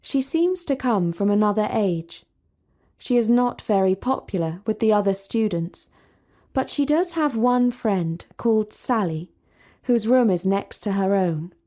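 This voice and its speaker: A woman narrating a storybook for English learners, reading in a clear, measured way. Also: mid-range voice, lively intonation, dark tone.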